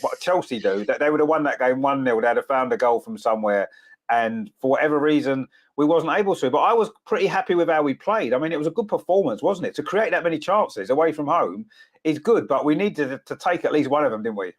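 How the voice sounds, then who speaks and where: A man with a cold, sounding croaky as he hosts a casual football chat show, full of "of course" and tag questions. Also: a little high, lively intonation, brisk. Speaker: podcast host.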